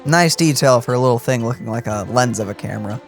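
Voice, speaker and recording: A woman co-hosting a let's play, reacting to the game with quick, playful remarks. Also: a bass voice, over music. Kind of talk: casual gameplay commentary.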